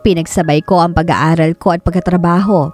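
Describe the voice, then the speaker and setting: A woman's voice: lowish voice, fairly even intonation, fairly quick, natural voice. An actress playing a dutiful eldest daughter in her thirties, telling her story in earnest, plaintive radio drama narration. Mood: plaintive, resolute. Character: Karen, 33-year-old dutiful eldest daughter recounting family hardship. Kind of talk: scripted dramatic first-person radio narration. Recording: soft background music under the voice.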